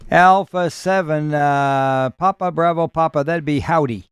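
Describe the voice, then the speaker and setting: A man with a slightly higher voice runs an amateur radio net. He talks in a friendly, folksy way, with plenty of "uh"s and relaxed pauses between call signs. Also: noisy background. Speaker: net control host of a ham radio net. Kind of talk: radio net operating chat.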